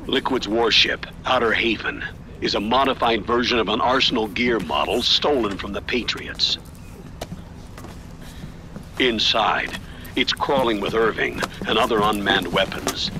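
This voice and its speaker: An actor playing a resolute older male military commander, giving a solemn, deliberate, dramatic mission briefing in a video game. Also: fairly low pitch, background noise. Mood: grave, urgent.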